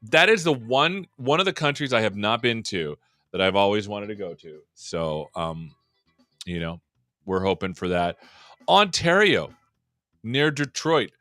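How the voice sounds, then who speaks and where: A man's voice: on the low side, expressive, unhurried, a bit bright, no echo, studio quality, faint music behind. Upbeat, joking male show host. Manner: jokey, rambling and upbeat. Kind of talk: casual live-stream chat.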